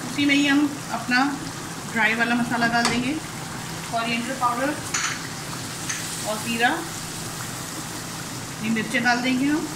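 A woman with a Pakistani Urdu accent and a high voice calmly walks through a recipe step by step. She is recorded on a camera mic over the stove in a roomy, echoing kitchen. Clear sizzling and frying sound behind her. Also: unhurried, long pauses. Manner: calm step-by-step explaining. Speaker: cooking channel host. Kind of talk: cooking demonstration voice-over. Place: home kitchen. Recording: echoey kitchen with sizzling noise.

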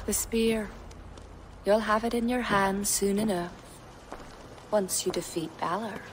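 A woman playing a solemn, mystical pagan druid in a video game, grave, measured and cryptic. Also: medium pitch, fairly even intonation, noisy background, close to an everyday voice. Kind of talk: dramatic video game acting. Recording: faint background ambience.

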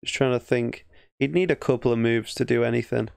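A man's voice: medium pitch, a little fast, vocal fry, a little mellow, clean tone, no echo. A man with an English accent giving casual, thinking-aloud game commentary as a chess streamer, often muttering half-finished thoughts. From England.

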